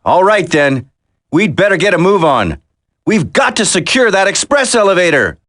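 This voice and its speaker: A man playing an earnest, steady special forces operative in a dramatic video game. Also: high voice, normal speed, dry recording, clean studio sound. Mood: serious.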